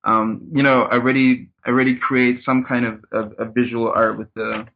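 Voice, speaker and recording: A man from Cameroon talks through his background as an interview guest, measured and often repeating words while he thinks. His tone stays flat and even. He comes through a tinny, phone-like line. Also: medium pitch, gravelly. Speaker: guest, startup consultant. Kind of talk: casual interview.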